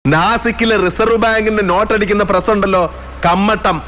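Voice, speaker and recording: A man's voice: sits high, fairly even intonation, fairly quick, noisy background, close to an everyday voice. An actor playing a scornful, confrontational man in a film, speaking emphatically. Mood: boastful. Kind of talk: film dialogue.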